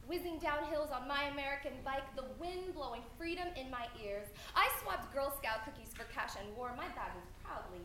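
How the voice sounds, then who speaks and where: A young woman performing a spoken word poem live, earnest and measured, trading lines with a partner. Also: high voice, expressive, a bit bright, slight background noise. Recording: echoey room heard from afar.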